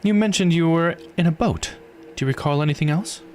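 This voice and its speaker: A man plays an inquisitive visual novel investigator, lifting the voice well above a normal talking pitch. The manner is casual and plain-spoken, with music playing behind. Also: a little fast, darkish tone, natural voice. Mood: curious. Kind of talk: visual novel character read-aloud.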